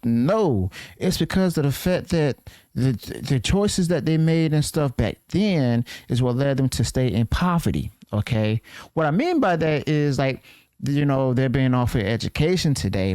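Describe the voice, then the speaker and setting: A man's voice: medium pitch, darkish tone, smooth, dry recording. A man giving casual reaction commentary, leaning on "okay" and "and stuff" as fillers.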